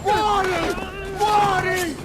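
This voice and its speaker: An actor playing a vicious, raging Renaissance killer in a video game, bellowing venomously with an Italian accent. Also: male voice, extremely high pitch, fairly even intonation, drawn-out words, exaggerated voice. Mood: murderous rage. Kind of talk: video game cinematic acting. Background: dramatic music, crowd noise.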